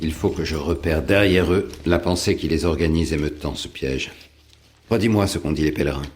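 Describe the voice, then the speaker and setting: An actor playing a shrewd, commanding Roman prefect in a French audio drama, deliberate and suspicious. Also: male voice, very deep voice, faint music behind. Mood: suspicious reasoning. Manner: deliberate, authoritative.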